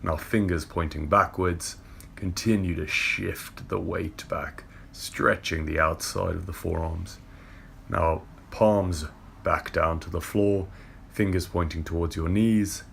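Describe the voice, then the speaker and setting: Casting: young male movement coach, deep gravelly voice, steady breath cues, faint hiss behind. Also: takes it slowly. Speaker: yoga and movement instructor. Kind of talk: guided workout instruction. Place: indoor car park with brick wall. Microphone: far camera.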